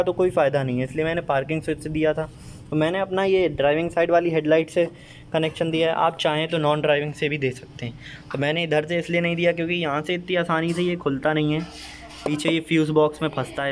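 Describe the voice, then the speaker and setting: A man's voice: a little high, a little hiss or hum. A young man casually explaining a DIY car wiring job step by step, talking to viewers like friends while filming on a phone beside his car. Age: young adult. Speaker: DIY car modification vlogger. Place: outdoors beside a car, at the engine bay. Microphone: handheld phone camera.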